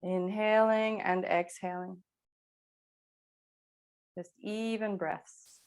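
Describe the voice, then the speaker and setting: Female yoga instructor, mid-pitched, calm and unhurried, gently cueing breath and movement. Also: clear, resonant tone. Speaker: yoga teacher.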